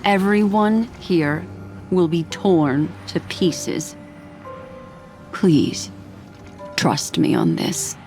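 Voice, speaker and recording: A woman playing a composed, enigmatic memory keeper in a video game, speaking formally and in a measured way. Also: on the low side, a little mellow, soft background music. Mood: ominous. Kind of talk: video game character voice acting.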